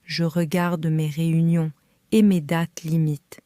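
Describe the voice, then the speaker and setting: Woman, learner reading, slow, mellow low voice, calm careful phrasing. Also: no echo, clean studio sound. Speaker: French reader for learners. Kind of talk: slow scripted reading for beginners.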